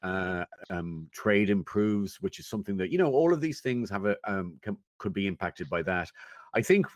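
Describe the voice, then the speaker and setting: A man giving considered opinions as a guest in a conversational interview, thoughtful and measured, often pausing as he goes. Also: sits low, expressive, dry recording, studio quality. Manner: thoughtful, measured, halting with pauses. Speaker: interview guest, technology commentator.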